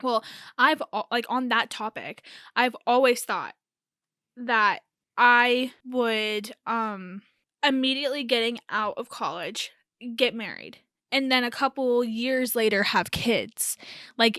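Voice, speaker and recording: A woman in her early twenties, hoarse and raspy from a lingering cold, talking in a rambling, self-correcting way on her podcast. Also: on the high side, slow, sharp tone, dry recording, studio quality. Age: early 20s. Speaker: podcast host. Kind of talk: casual solo chat.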